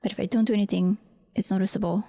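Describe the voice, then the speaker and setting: A woman giving casual behind-the-scenes commentary as she edits, halting and muttering to herself. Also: mid-range voice, level tone, mellow. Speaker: voice actor, audio creator.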